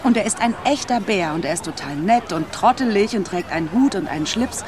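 An actress playing a chatty, brash woman who works in fast food, animated and teasing, in a radio drama. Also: medium pitch, a crowd in the background, background noise, natural voice. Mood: excited, insistent. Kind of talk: naturalistic radio drama acting.

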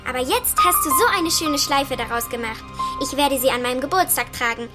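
A woman's voice: high voice, brisk, lightly acted. A female actor playing an eager, earnest young granddaughter in a German children's radio play. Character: young granddaughter Stella, eager, chatty, a little remorseful. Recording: music under the voice.

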